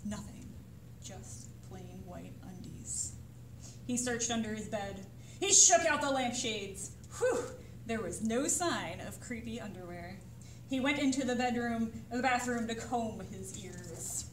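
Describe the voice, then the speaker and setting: A woman reading a picture book aloud to children as a librarian, with dramatic, suspenseful delivery. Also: fairly high pitch. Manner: expressive, suspenseful pauses and sudden exclamations. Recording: roomy echo, distant mic, faint noise.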